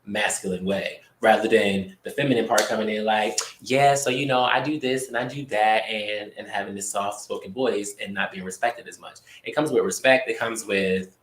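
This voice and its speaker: A man co-hosting a casual talk show, talking in an animated, expressive way with drawn-out emphasis. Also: fairly low pitch, a little hiss or hum. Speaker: podcast co-host. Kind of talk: casual chat. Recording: echoey room.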